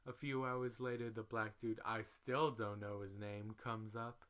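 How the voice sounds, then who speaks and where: A man giving a dramatic, comedic storytelling read, animated when he quotes people. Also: fairly low pitch, measured pace. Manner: animated, exclamatory in quoted lines. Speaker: reader.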